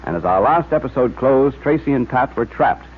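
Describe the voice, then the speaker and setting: A man's voice: medium pitch, lively intonation, normal speed. A man announcing and narrating in scripted 1940s radio style. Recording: muffled, tinny old radio recording.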